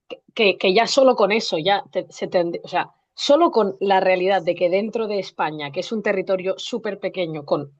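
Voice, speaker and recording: A Spanish teacher, a woman from Spain with a Spanish accent, talking in a lively, rambling way with many restarts. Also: fairly low pitch. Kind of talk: live online teaching discussion. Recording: muffled lo-fi call audio.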